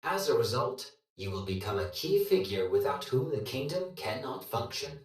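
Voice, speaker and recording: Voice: medium pitch, big pitch swings, normal speed, a little mellow. An actor voicing a dutiful, emotionless robot assistant in a video game, with formal, systematic delivery and robotic processing. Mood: formal, neutral. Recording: heavily echoing, distant processed sound.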